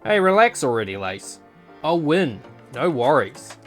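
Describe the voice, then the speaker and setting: A man's voice: fairly high pitch, very animated, unhurried, fairly bright tone, faint music behind. A man voicing a cool, self-assured young tournament fighter in a visual novel drama, laconic and nonchalant.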